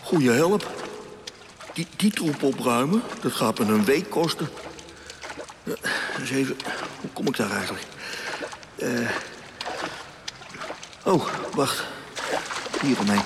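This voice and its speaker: An actor playing a weary, sarcastic sewage worker who grumbles and mutters to himself in a radio drama. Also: male voice, medium pitch, animated, slow, long pauses. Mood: disgusted muttering. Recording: long cavernous reverb, clear background noise.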